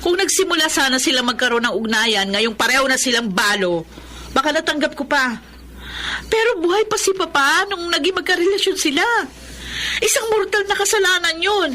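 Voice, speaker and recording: A woman's voice: sits high, animated, a little fast, sharp tone, slight background noise. A woman playing a resentful, accusing married elder daughter in a radio drama. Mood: resentful, determined. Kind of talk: Filipino radio drama acting.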